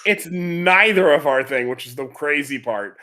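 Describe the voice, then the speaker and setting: A man's voice: fairly high pitch, normal speed, bright, forceful tone. A man hosting a podcast, chatting casually about anime in a rambling, enthusiastic way. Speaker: podcast host.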